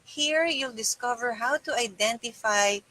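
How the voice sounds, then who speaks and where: A woman's voice: on the high side, a little slow, pushed and bright, low-quality recording. A middle-aged woman reading a self-help book aloud in a small room indoors. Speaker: reader.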